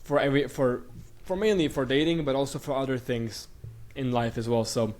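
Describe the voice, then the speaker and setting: A man's voice: mid-range voice, fairly quick, darkish tone. A young man from Bulgaria who grew up in Sweden, talking as a guest in a casual interview, rambling with many fillers and restarts. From Bulgaria, raised in Sweden.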